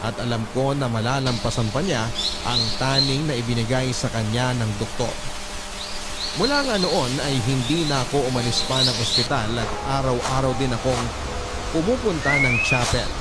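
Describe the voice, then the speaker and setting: A man playing a devoted, weary middle-aged husband, narrating a drama in earnest, sentimental, deliberate phrases. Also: medium pitch, lightly acted. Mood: grieving, pleading. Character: devoted, weary husband telling his life story. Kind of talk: dramatic first-person scripted narration. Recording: loud music laid under the voice.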